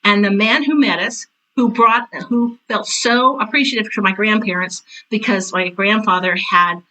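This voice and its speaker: Woman, 60s, podcast guest: bright, forceful voice, mid pitch, chatty and emphatic storyteller. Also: steady tone, normal speed, poor audio. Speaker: interview guest, retired theater teacher and director. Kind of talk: casual conversational storytelling.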